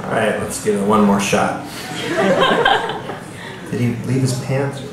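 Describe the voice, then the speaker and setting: A man's voice: lowish voice, steady tone, measured pace. A man, an author, giving a live literary reading at a bookstore with casual asides to the audience. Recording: echoey room, distant mic, background noise.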